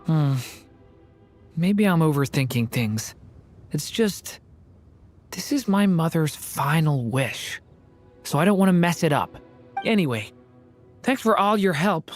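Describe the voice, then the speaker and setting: An earnest young dragon boy from a game. He speaks softly and hesitantly in a lowish youthful voice, with quiet music behind. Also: lively intonation, slow pace, pauses often. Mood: earnest, unsure. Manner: soft-spoken, unsure, earnest. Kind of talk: video game dialogue.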